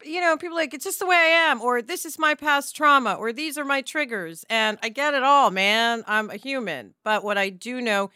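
A woman's voice: high voice, normal speed, few pauses, sharp tone, smooth, no room sound, studio quality. A woman co-hosting a podcast, moving between scripted intros and casual, informal, emphatic talk. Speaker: podcast co-host.

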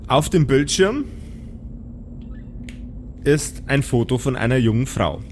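A man narrating an improvised pen and paper audio drama as game master, deliberately and with dramatic pauses. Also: mid-range voice, lively intonation, a little hiss or hum.

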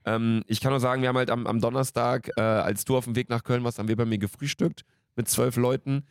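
A man's voice: mid-range voice, steady tone, quick pace, clear, resonant tone, dry recording, studio quality. A man in his late twenties co-hosting a podcast in casual, slangy, jokey banter. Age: late 20s. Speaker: podcast co-host.

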